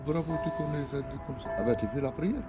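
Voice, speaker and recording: A man passerby in a street conversation, soft-spoken, answering with brief approving interjections. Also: on the high side, fast talker, dull audio, over music. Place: outdoors on a city street.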